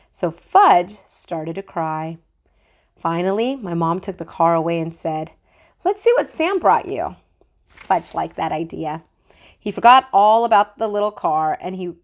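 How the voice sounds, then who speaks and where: A woman reading a children's book aloud like a teacher, with expressive storytelling. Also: mid-range voice.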